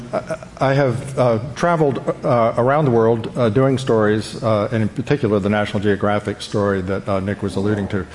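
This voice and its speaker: A man speaking unscripted as a journalist on a panel, in a halting, hesitant way. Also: mid-range voice, a little mellow, slight background noise. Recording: echoey hall reverb.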